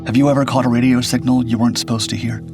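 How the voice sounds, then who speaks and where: A man narrating a scripted first-person suspense story in a measured, grave storytelling manner. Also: mid-range voice, level tone. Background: background music.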